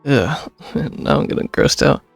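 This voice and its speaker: A man streaming a video game, casually commenting and reading text aloud in an offhand, gravelly, husky voice. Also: measured pace. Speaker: streamer playing and reacting. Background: faint game music.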